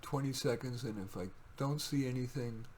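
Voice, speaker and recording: A man with a mid-pitched voice presents poems he wrote himself. He declaims the verse with theatrical emphasis and speaks casually between pieces. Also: steady tone, moderate pace, darkish tone, slight background noise. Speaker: poet reading own work. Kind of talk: poetry reading with casual asides.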